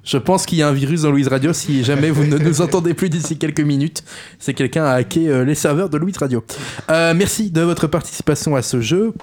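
A man's voice: on the high side, a little fast, a little mellow. A man hosting a casual radio show, laughing often and hesitating with fillers.

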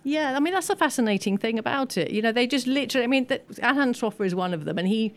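A woman's voice: on the high side, animated, fast. A woman speaking as an expert guest at a film screening, talking informally and analytically on a panel. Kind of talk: informal post-screening Q&A commentary. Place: live event at a film screening.

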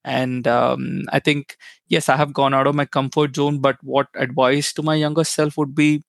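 A man's voice: mid-range voice, flat delivery, normal speed, creaky, dry recording, clean studio sound. A man from India whose first language is Tamil, speaking as a guest in a casual interview and often repeating words as he searches for them. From India, Chennai.

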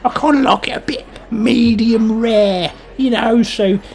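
A woman playing a pushy, flirtatious, suggestive dinner date with a croaky voice in an improvised comedy radio play. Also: a little high, fairly even intonation, a little mellow. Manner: drawn-out, suggestive, teasing delivery.

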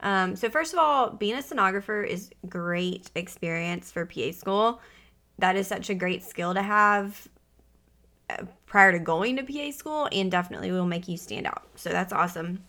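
Female podcast host, mid-pitched with noticeable vocal fry, upbeat, casual advice-giving delivery. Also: normal speed, bright, forceful tone. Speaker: podcast host and pre-PA advisor. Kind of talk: casual conversational podcast talk.

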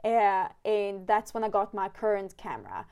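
A woman's voice: medium pitch, big pitch swings, moderate pace, creaky, a little mellow, clear, resonant tone. Chatty Swedish woman hosting a podcast. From Sweden. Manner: chatty, many fillers and restarts. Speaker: podcast host. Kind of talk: casual chat.

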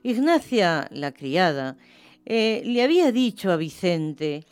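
A woman narrating a literary radio play in an expressive storytelling style. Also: on the low side, slow. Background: music.

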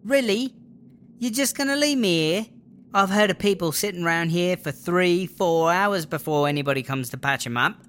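A woman's voice: on the low side, normal speed, fairly bright tone, close to an everyday voice. A woman with a British accent playing a jealous, bitter, foul-mouthed girlfriend in a comedy audio drama, sarcastic and exasperated.

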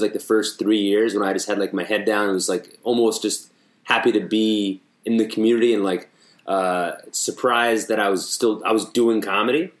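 A man in his thirties, a comedian co-hosting a podcast, chatting playfully and riffing with puns. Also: on the low side. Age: 30s. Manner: playful, riffs and makes puns. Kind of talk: casual podcast chat. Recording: echoey room.

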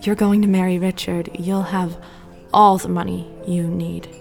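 Desperate, tipsy wife, music behind. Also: female voice, on the low side, flat delivery, unhurried, gravelly. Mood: pleading, secretive. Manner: pleading, tipsy, dramatic. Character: desperate, fearful, drunken unhappy wife, pleading and brittle. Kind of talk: audio drama acting.

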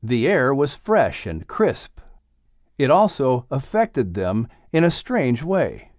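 A man narrating a children's audiobook in an expressive, scripted storytelling style. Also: mid-range voice, slow, no echo, clean studio sound.

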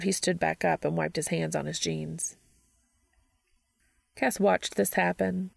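A woman's voice: lowish voice, gravelly, no room sound. A woman reading scripted fiction aloud as a narrator.

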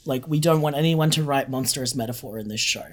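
A woman's voice: very deep voice, quick pace. A woman talking casually as an interview guest, candid and emphatic in making points. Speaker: interview guest, audio drama creator.

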